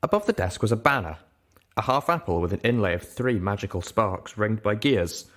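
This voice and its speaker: A man reading a story aloud as an expressive first-person narrator. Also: lowish voice, normal speed. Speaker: audiobook reader.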